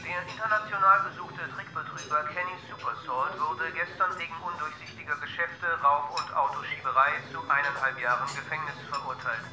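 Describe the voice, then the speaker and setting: An actor playing a formal news reader delivering a scripted, matter-of-fact bulletin in a radio drama. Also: male voice, on the high side, fairly even intonation, fairly quick, hardly any pauses, close to an everyday voice. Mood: sober, official. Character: formal male news reader reporting a crime. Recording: roomy sound with music behind.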